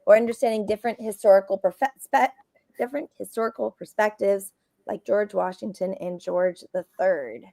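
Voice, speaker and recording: Woman host, upbeat, chatty, mid-pitched, on a video call. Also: normal speed, gravelly, no echo. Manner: enthusiastic, warm reactions. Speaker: host, interviewer. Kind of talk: casual live-stream interview.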